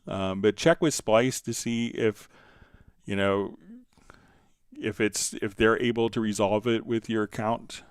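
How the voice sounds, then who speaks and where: Low, smooth-voiced man explaining software. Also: unhurried, dry recording, studio quality. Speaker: livestream host answering viewer questions. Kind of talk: unscripted software tutorial, casual explaining.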